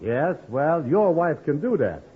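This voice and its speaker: Prim, animated radio gentleman. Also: fairly high pitch, a little slow. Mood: polite, testy. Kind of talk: 1940s radio comedy acting. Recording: muffled old radio recording, crowd murmur behind.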